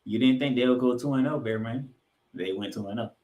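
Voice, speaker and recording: Guy hosting a sports recap show, mid-pitched, punchy and emphatic, roomy echo on his voice. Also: fairly quick, mellow. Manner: emphatic delivery, repeating points for effect. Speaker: sports podcast host. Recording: roomy, echoing room sound.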